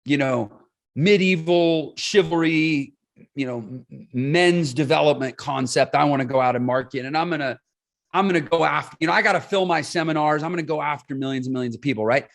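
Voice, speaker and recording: A man's voice: fairly high pitch, fairly quick, vocal fry. A man in his forties, a podcast guest, explaining his points emphatically and often checking in with "right?". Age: 40s. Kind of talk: casual interview talk.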